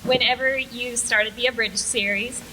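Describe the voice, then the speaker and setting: A woman's voice: fairly high pitch, fairly even intonation, moderate pace, sharp tone, long reverb tail. A woman in the audience casually asking fan questions at a live panel. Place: convention panel room, indoors. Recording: distant, noisy convention room with crowd.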